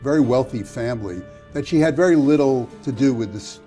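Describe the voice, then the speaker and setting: A man in his sixties giving deliberate, matter-of-fact political commentary in a chat. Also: mid-range voice, mellow, soft background music. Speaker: interview guest. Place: study with bookshelves.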